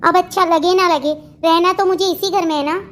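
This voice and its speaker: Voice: very high-pitched, steady tone, a little fast, a bit bright. A performer voicing a rude, irritable young newlywed bride in a family cartoon, curt and sarcastic. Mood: sulky. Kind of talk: Hindi family comedy cartoon dialogue.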